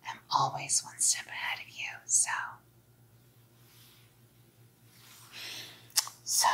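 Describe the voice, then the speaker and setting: Woman playing a slow, whispering psycho girlfriend. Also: very deep voice, husky, full character voice. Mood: possessive, coaxing. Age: young adult. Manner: hushed, slow, coaxing. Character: doting, controlling psycho girlfriend. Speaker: ASMR role-play performer. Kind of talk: whispered ASMR role-play. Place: small dim indoor room.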